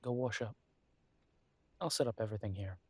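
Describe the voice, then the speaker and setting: A man's voice: fairly low pitch, brisk, dark tone, breathy edge, no echo. A man voicing a grumbling but affectionate werewolf detective in an intimate audio roleplay, wry and fondly teasing. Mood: soft, teasing. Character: gruff, affectionate male werewolf detective, grumbling city dweller.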